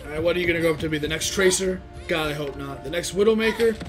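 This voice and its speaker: A man's voice: a little high, quick pace. An American man in his twenties giving casual, crude, joking reaction commentary full of swearing. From Arizona, United States. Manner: excitable, crude, joking, muttered asides. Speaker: reaction video host. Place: small plain room indoors. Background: animated short soundtrack with music.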